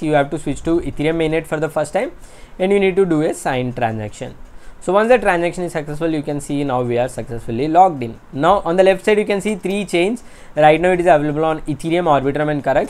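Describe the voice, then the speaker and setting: A man's voice: on the high side, a little mellow. A man with an Indian accent hosting a crypto channel, talking through a screen-recorded tutorial step by step with frequent hesitations. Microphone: voice-over on desktop screen capture.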